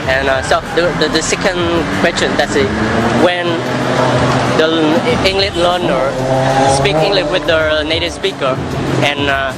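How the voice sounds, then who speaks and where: A man with a Vietnamese accent asking questions in halting, simple English in a casual street interview. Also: fairly high pitch. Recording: loud street and traffic noise.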